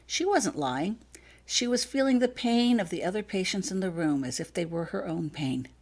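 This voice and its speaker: Woman reading as a measured, apologetic grown man. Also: fairly low pitch, lively intonation. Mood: earnest explaining. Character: calm, apologetic grown man explaining grave matters.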